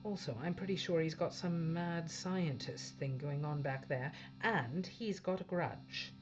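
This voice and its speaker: A woman's voice: low voice, a little slow, highs cut off, background noise. A woman playing a blunt, authoritative female spaceship captain in an audio drama. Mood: wary, determined. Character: tough, commanding female captain of a failing spaceship. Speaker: actor playing a ship's captain.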